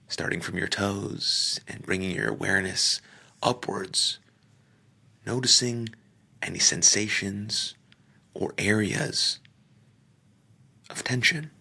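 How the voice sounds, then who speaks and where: A man leading a guided meditation, speaking gently in short phrases with pauses and sometimes trailing off into a near-whisper. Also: fairly low pitch, steady tone, gravelly, slightly rough. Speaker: podcaster. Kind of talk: guided meditation, soft slow instruction.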